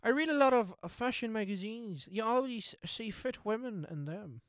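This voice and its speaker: Cheeky, animated detective sidekick, acted by a woman. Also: a little high, normal speed, no room sound, close to an everyday voice. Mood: flippant. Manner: flippant, slangy. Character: cheeky, clueless, slack male detective sidekick. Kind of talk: comic amateur radio drama acting.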